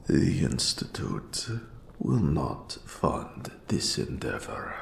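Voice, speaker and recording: A man voicing an eldritch mentor of dark magic in a scripted fantasy roleplay, whispering gravely with ominous, formal, archaic speech. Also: sing-song, slow, slight background noise, full character voice. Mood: grave, brooding. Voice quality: breathy whisper with gravelly low rasp. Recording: roomy echo on the voice.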